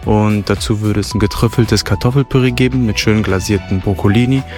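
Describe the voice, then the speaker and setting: Man, deep voice, chatty restaurant host, fluent with fillers, faint music behind. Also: steady tone, brisk. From Germany. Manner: fluent and descriptive, leans on fillers. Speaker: restaurant host, son of the owner. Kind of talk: casual radio interview. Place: restaurant terrace.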